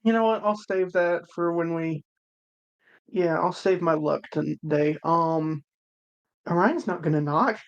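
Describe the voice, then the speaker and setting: A woman's voice: fairly low pitch, measured pace, muffled recording. A woman playing a tabletop game, chatting casually in a slangy, blunt way.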